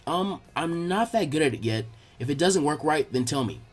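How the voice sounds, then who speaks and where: A man's voice: fairly high pitch, lively intonation, moderate pace, faint music behind. A man voicing an earnest, eager young boy ninja trainee with a candid, informal way of talking in a story reading. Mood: hesitant. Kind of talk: fan fiction character dialogue.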